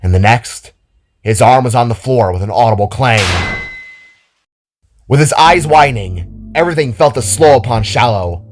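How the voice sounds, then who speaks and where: A man's voice: medium pitch, animated, normal speed, long pauses, soft background music. A man reading scripted fiction as a dramatic story narrator.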